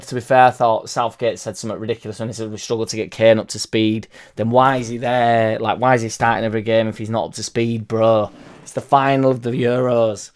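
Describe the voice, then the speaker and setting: A young man, hoarse from losing his voice, ranting casually about football with loud bursts and swearing. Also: medium pitch. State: hoarse, partly lost voice. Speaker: football fan vlogger. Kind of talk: casual rambling fan vlog.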